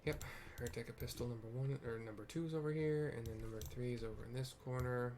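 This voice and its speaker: A man's voice: medium pitch, steady tone, a little mellow, a little hiss or hum. A man casually narrating a game playthrough, often stumbling and correcting himself as he goes. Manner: halting, self-correcting read-aloud. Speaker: gameplay video host. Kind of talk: casual game playthrough, reading quest dialogue aloud.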